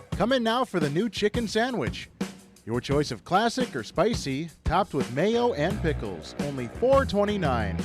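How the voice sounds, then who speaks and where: An American man reads scripted radio commercials with music behind him. His voice is high for a man and swings animatedly up and down. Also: dry recording, clean studio sound. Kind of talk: scripted ad read.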